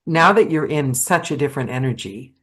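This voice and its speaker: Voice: medium pitch, expressive, a little fast, breathy edge. Chatty podcast host and narrator. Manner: conversational, storytelling with asides. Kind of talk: casual interview chat.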